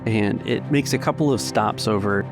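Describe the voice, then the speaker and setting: A man's voice: lowish voice, moderate pace, music in the background. A man narrating a tabletop roleplaying game as its game master.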